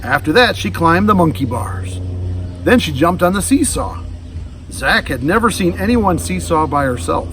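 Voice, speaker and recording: A man reading a children's storybook aloud in an expressive, animated style. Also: on the high side, normal speed. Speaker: storybook reader. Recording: distant mic with faint background noise.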